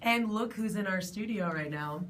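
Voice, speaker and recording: A woman with a Canadian accent and a slightly raspy voice, hosting an upbeat morning radio show in a studio. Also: mid-range voice, moderate pace, a bit bright, a little hiss or hum.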